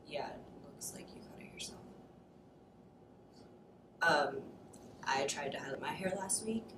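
A woman's voice: low voice, lively intonation. Woman acting a hurt, sarcastic daughter, distant roomy sound. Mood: awkward, guarded. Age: young adult. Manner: halting, sarcastic, awkward. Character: hurt, sarcastic teenage or young daughter working at coffee shop. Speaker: actor. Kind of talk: naturalistic dramatic scene cold read. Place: indoor studio against blue backdrop. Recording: roomy, distant mic, noticeable noise.

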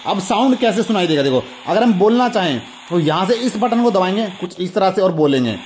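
Indian guy doing excited toy unboxing, high voice, talks really fast. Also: a little hiss or hum. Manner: enthusiastic, repetitive, addressing viewers directly. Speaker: toy review channel host.

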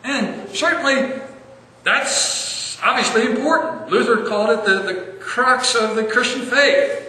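High, bright-voiced preacher in an echoey church. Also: male voice. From American. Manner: earnest, slows to stress key phrases. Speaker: pastor. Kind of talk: sermon. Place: church sanctuary, indoors. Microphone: stand mic a step away, filmed from far camera. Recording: echoey church hall, distant mic.

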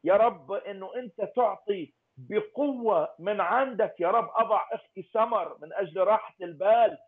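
A man's voice: sits high, moderate pace. A man leading a fervent intercessory prayer, pleading and naming people one by one. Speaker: prayer leader. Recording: tinny phone-like line.

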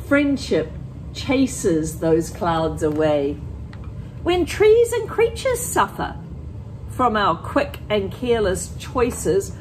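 A middle-aged woman teacher reading a picture book aloud to children, with deliberate, expressive phrasing, talking directly to her young listeners. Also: mid-range voice, a little hiss or hum, poor audio. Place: empty school classroom, indoors.

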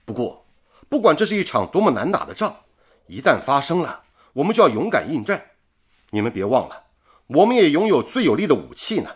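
An actor playing an earnest, patriotic radio engineer in a radio drama, speaking with measured conviction. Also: male voice, on the high side, very animated, breathy edge. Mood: resolute. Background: music.

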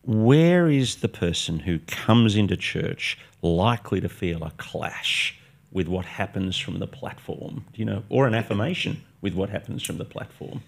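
A man's voice: lowish voice, animated, normal speed, creaky. An older man hosting a studio interview, asking probing, conversational questions and murmuring agreement. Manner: probing, conversational, frequent "mm-hmm" backchannels. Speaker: host. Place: studio set with armchairs. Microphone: boom-mounted podcast mic.

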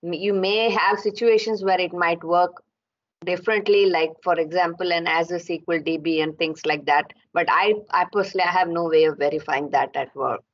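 A woman's voice: lowish voice, fairly even intonation, fairly quick. A woman attendee asking questions conversationally and somewhat hesitantly over a video call. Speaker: audience member asking a question. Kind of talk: conversational question in online meeting. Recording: dull online call audio.

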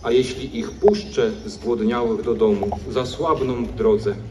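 A man reading scripture aloud at an outdoor mass, slow and solemn, heard through a distant loudspeaker. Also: medium pitch. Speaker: gospel reader. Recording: distant outdoor PA with faint ambient noise.

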